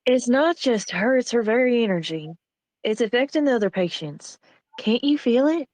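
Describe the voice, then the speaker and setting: A woman's voice: a little high, expressive, normal speed, darkish tone, no echo, natural voice. A woman acting a superstitious, wary hospital nurse in a screenplay table read, speaking insistently. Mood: insistent, uneasy.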